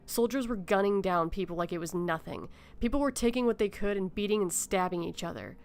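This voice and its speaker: A woman's voice: mid-range voice, a little fast, lightly acted. A woman playing a shaken, somber survivor, subdued and serious, in a horror audio drama. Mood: somber, resigned.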